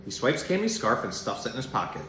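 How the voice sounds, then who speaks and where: Guy doing kid friendly animal talk and storytime, bouncy pitch, roomy sound w some noise. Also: very animated, fast talker. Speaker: zookeeper educator and storyteller. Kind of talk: informal animal talk and children's storybook read-aloud.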